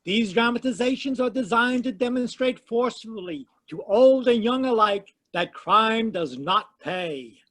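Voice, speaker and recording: High, emphatic old-time radio announcer. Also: male voice, expressive, a little slow, hardly any pauses, fairly bright tone. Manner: emphatic, declamatory. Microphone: home microphone on a video call.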